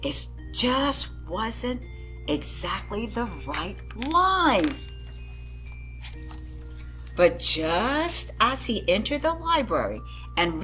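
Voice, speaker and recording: A woman's voice: fairly high pitch, fairly bright tone. A woman reading a picture book aloud to children, expressively and with dramatic emphasis, addressing her young listeners. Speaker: children's story-time reader.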